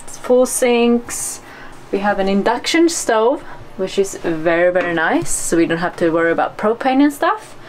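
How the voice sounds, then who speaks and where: A woman's voice: lowish voice, a little hiss or hum. A woman from Sweden, a native Swedish speaker, chatting casually as a sailing vlogger showing viewers around. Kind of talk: casual chat and tour to camera.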